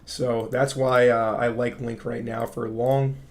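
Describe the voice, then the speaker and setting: A man with a raspy voice hosting a casual crypto livestream, explaining charts to viewers and often checking in with "right?". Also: medium pitch, flat delivery, a little slow. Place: home studio. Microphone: studio mic.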